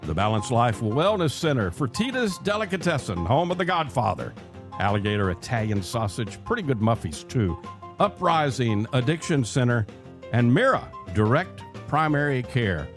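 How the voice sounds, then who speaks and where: A man's voice: mid-range voice, sing-song, normal speed, over music. An older man hosting a talk radio show in a chatty, folksy, conversational way from a close studio microphone.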